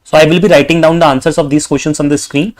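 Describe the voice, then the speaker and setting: A man with an Indian accent, tutoring exam preparation, reading practice sentences aloud slowly and saying okay often. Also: fairly high pitch, flat delivery. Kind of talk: tutorial explaining test questions.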